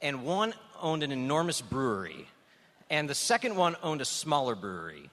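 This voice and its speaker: Animated man riffing in an echoey hall. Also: on the high side, normal speed. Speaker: comedian panelist. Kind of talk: live comedic panel banter. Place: large hall on stage.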